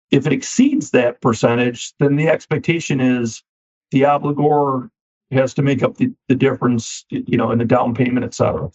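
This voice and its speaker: A man, a finance company CEO, answering interview questions with a slightly scratchy voice, measured and often repeating words as he starts a thought. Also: mid-range voice, darkish tone. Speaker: equipment finance CEO, guest. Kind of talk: business podcast interview. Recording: dull lo-fi sound.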